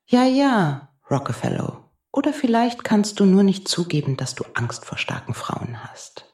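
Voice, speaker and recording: A woman playing a sharp-tongued, seductive space traveler in an audio drama, mocking and sometimes dropping to a near whisper. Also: medium pitch, very animated, unhurried. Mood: needling. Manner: mocking, breaks into whispery tones. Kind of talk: scripted audio drama acting. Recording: echoey room.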